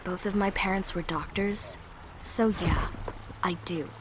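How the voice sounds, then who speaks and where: A woman's voice: mid-range voice, a little slow, slight background noise, close to an everyday voice, low-quality recording. A woman playing a wary, defensive young survivor in an audio drama, terse and challenging. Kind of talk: amateur audio drama acting.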